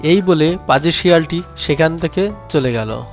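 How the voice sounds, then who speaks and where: This is a man telling a scripted story for children as its narrator. His voice sits a little high for a man. Soft music plays behind the narration. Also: a little slow.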